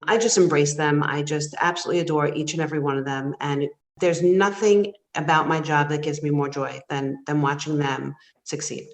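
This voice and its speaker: A woman's voice: low voice, steady tone, normal speed, few pauses. A woman around fifty, a program director, answering interview questions in an emphatic, admiring way. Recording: echoey room.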